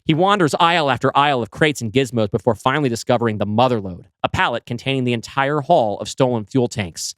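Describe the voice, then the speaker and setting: A man reading his screenplay aloud, with brisk scene-setting narration. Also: medium pitch, fairly bright tone, no room sound, studio quality. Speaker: writer pitching a screenplay.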